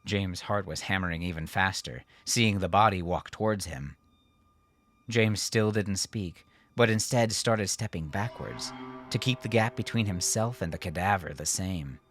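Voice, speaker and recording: A man reading dramatic fiction as an audiobook narrator, with a measured, deliberate delivery. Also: low voice, a bit bright, faint music behind.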